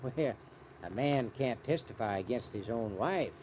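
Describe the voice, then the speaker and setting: Actor as a sly, drawling American small-town sheriff. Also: male voice, mid-range voice, animated, a little slow, darkish tone, lightly acted. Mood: sly, insinuating. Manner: drawling rustic speech. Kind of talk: old-time radio drama acting. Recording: muffled, noisy old radio recording.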